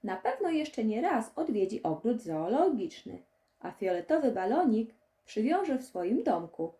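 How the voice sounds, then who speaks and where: A woman reading a children's story as an audiobook narrator, in an expressive storytelling manner. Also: fairly high pitch, a little slow.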